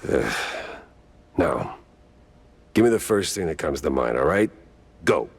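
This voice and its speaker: A man's voice: a bass voice, sing-song, measured pace, gravelly, breathy edge, exaggerated voice. A man playing a world-weary, wisecracking mouse private detective in a noir cartoon game, with a dry, sardonic delivery.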